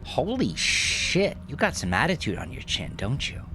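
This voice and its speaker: A man voicing a swaggering, amused gangster in an audio drama, brash and teasing, with drawn-out exclamations. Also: medium pitch, wildly swooping pitch, bright, forceful tone, soft background music, pitched above the performer's own voice. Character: swaggering, cocky, amused male gangster, foul-mouthed and casually charming.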